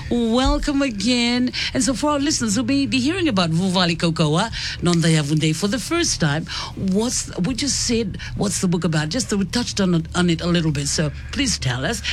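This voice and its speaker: A radio presenter hosting an interview in an upbeat, lively manner. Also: medium pitch, a little hiss or hum.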